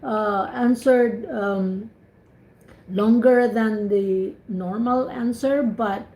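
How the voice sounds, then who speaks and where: Filipino woman tutor on a video call, fairly high voice, echoey room, talks through feedback with lots of restarts. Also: steady tone, drawn-out words, warm tone. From Philippines. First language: Cebuano. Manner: encouraging, explains at length with many restarts. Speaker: IELTS speaking tutor. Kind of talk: giving spoken feedback on an online call.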